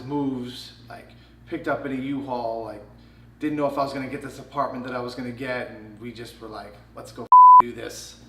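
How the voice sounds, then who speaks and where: A young man tells a story in a chatty, self-mocking way, acting out what people said. His mid-pitched voice has a gravelly edge. He sounds set back from the mic in a roomy space with faint hiss. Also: sharp tone, distant mic. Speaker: professional choreographer. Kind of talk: casual storytelling.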